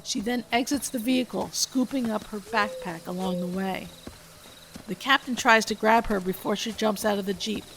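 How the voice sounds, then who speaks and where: Woman, script read-aloud, steady narration, gravelly voice, faint hiss. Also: medium pitch, moderate pace, rough recording. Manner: reads stage directions steadily. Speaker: podcast host reading her own script.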